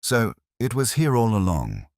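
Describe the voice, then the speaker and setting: A man voicing a poised, fatalistic woman adversary in an audiobook, measured and solemn. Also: fairly low pitch, wildly swooping pitch, no echo, clean studio sound, pitched above the performer's own voice. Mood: unsurprised. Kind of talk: audiobook character dialogue.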